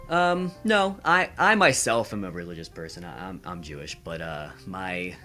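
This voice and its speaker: A young man answering casually as a podcast guest, earnest and halting, repeating words. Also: deep voice, animated, sharp tone, faint music behind. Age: young adult.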